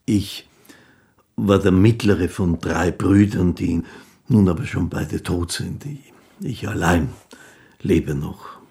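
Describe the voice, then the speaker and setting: An actor playing a gentle, humble old street musician in a radio play, halting, polite and wistful. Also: male voice, deep voice, creaky, heavily acted.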